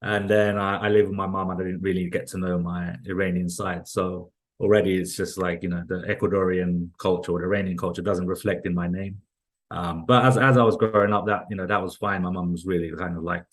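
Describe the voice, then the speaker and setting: A man's voice: deep voice, level tone, fairly quick. A man from London with a London accent, talking as an interview guest in a loose, rambling way full of restarts and fillers. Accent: London English. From London, England. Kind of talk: casual interview conversation.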